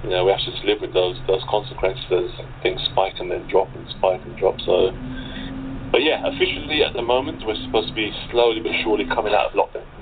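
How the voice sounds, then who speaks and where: English male, deep voice, hesitant casual delivery, narrow phone-quality sound with faint noise. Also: fairly even intonation, quick pace. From England. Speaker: cast member being interviewed. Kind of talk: casual chat. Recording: noisy, phone-like remote call audio.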